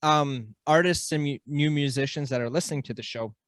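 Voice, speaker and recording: A man's voice: a little high, brisk, no echo, clean studio sound. A man hosting a podcast interview in an informal, laid-back way with casual slang. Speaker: podcast host.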